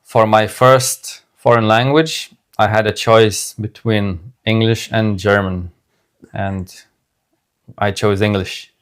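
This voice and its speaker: Slow, smooth-voiced Lithuanian man. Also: lowish voice, steady tone. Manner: measured, careful, in short phrases. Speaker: podcast co-host. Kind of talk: casual conversational podcast.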